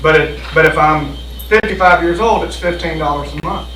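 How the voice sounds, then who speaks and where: A man's voice: fairly high pitch, moderate pace, sharp tone, a little hiss or hum. A man explaining insurance options to a meeting in a folksy, repetitive way. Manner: folksy, explanatory, repeats himself. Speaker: insurance benefits presenter. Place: board meeting room. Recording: echoey room, mic at a distance.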